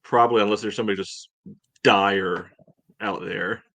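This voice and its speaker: Lower-pitched man in casual sports talk, dry sound. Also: fairly even intonation, bright, forceful tone, dry recording. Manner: measured, hedging, frequent "you know". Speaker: sports podcast co-host. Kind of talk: casual soccer match discussion.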